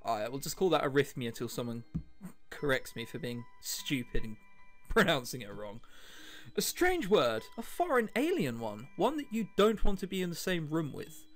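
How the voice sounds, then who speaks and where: A man with a slightly high, very lively voice reads a visual novel aloud for a let's play. He drops chatty, wry remarks between the lines and trips over the odd word. Music plays behind him. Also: moderate pace. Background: background music.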